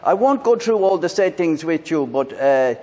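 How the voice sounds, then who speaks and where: A man with an Irish accent, hosting a casual product review on a lavalier mic, talking in a halting way with many fillers and restarts. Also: on the high side, expressive, dark tone. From Ireland. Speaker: tech reviewer, channel host. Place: indoors in a room with a fan, then outdoors by a busy road.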